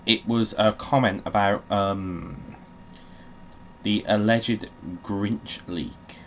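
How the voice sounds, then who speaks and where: A man's voice: lowish voice, steady tone, drawn-out words, a bit bright, a little hiss or hum. A man giving casual, sweary commentary while playing a video game, in a wry, grumbling manner.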